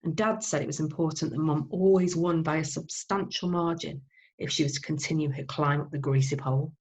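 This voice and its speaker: A woman with a fairly low voice narrates a children's novel. She reads expressively, like a teacher reading aloud to pupils. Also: fairly quick, darkish tone, low-quality recording.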